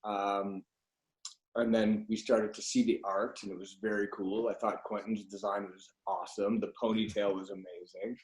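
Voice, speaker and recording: A man's voice: lowish voice, level tone, moderate pace, darkish tone. A middle-aged man, reminiscing casually as a voice actor being interviewed. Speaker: guest, voice actor. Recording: echoey room sound.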